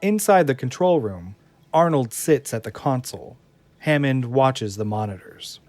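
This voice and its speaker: A man's voice: medium pitch, animated, unhurried, a little mellow. Male drama narrator over music. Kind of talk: scripted audio drama narration.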